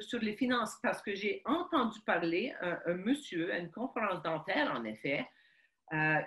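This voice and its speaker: A woman's voice: medium pitch, fairly bright tone. Hesitant Ukrainian-Canadian woman, echoey room. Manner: halting, many restarts and fillers, searching for words. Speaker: guest. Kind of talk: telling her life story in an interview.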